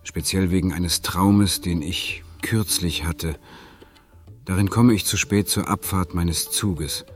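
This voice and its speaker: Radio play: actor for a bashful, formal male client, low-pitched, halting and easily flustered. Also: soft background music. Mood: polite, calmer. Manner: hesitant, formal. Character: shy, flustered male client, polite and awkward. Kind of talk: audio drama dialogue.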